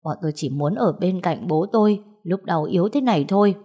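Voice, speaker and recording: A woman voicing a brash, greedy, quarrelsome middle-aged aunt who wails and scolds loudly and crudely in an audio story. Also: fairly low pitch, lively intonation, fairly quick, breathy edge. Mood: indignant, scolding. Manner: loud, crude wailing and scolding.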